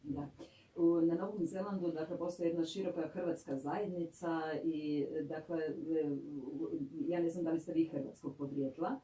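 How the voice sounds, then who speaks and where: A Croatian-accented radio host with a mellow, mid-pitched voice presents an interview. The delivery pauses often, and the sound is roomy and a little distant. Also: fairly even intonation. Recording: roomy, slightly distant microphone.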